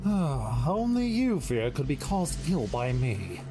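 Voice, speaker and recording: A woman's voice: very deep voice, very animated, slow, over music, heavily acted. A woman playing a vain, flippant spirit of pleasure in an audio drama, with a smug theatrical drawl. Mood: smug, dismissive.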